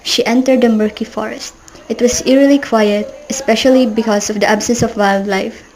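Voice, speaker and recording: A young woman narrating an amateur radio drama in an earnest, steady way. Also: on the high side, normal speed, rough recording. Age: young adult. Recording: background music.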